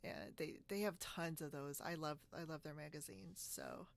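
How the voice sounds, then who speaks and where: A woman's voice: deep voice, steady tone, moderate pace. A woman hosting a casual video podcast over a video call, talking in a chatty way and often laughing as she goes. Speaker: podcast host. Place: split-screen video call, recorded dry.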